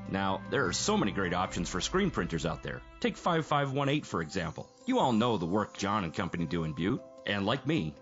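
Jokey man reading an ad, low, dull lo-fi. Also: fairly bright tone. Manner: self-mocking, jokey ad delivery. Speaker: podcast host reading sponsor ad. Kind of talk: comic sponsor read. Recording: dull lo-fi read with faint music.

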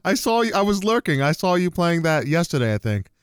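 A man's voice: fairly high pitch, big pitch swings, fairly quick, a little hiss or hum. A man hosting a casual gaming podcast, easygoing and given to repeating himself. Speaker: podcast host. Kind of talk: casual chat.

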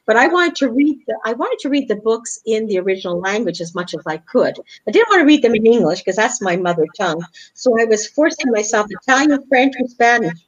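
Chatty woman host with a high bright voice, laughing often, easy friendly back-and-forth. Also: very animated, a little fast, hardly any pauses, dull audio. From Canada. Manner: upbeat, chatty, laughs easily. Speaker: talk show host. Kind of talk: casual interview chat.

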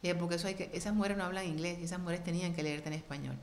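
Lively middle-aged woman telling a story. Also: fairly low pitch, very fast, slight background noise. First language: Spanish. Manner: lively, expressive, acts out quoted voices. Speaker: interview guest. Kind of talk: animated storytelling in conversation.

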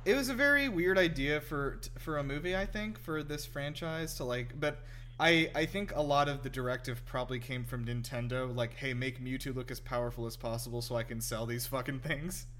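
A young man hosting a podcast, chatting casually about films in a halting, rambling, informal way. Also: medium pitch, fairly bright tone, a little hiss or hum. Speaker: podcast host. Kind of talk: casual chat, informal film review.

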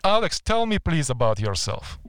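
An older man with a Ukrainian accent hosting a podcast interview, talking in a reflective, halting way with fillers. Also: on the high side, big pitch swings, a bit bright, smooth, no room sound. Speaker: podcast host. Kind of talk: casual interview chat. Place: home in Vancouver.

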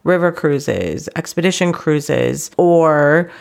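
A woman's voice: sits low, expressive, slow, creaky, darkish tone. A woman hosting a podcast solo in a conversational, explanatory way, sounding congested and slightly stuffy from a cold. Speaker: podcast host.

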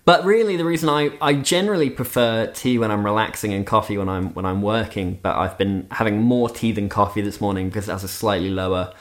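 A man with an English accent who grew up in Switzerland, chatting casually as a podcast co-host, animated and often laughing through his restarts. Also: lowish voice, brisk, hardly any pauses. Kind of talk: casual chat.

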